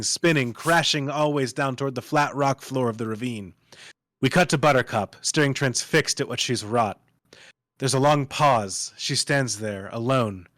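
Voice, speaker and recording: A man's voice: mid-range voice, a bit bright, dry recording. A man giving dramatic scripted narration, reading stage directions like a storyteller.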